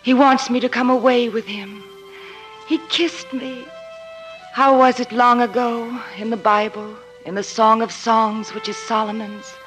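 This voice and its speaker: A woman's voice: a little high, flat delivery, moderate pace, hardly any pauses, a bit bright, faint music behind, natural voice, low-quality recording. An actress playing a timid, lovelorn young woman's inner voice in a radio drama, earnest and breathless. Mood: tender, dreamy. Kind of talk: radio drama acting, inner-monologue narration.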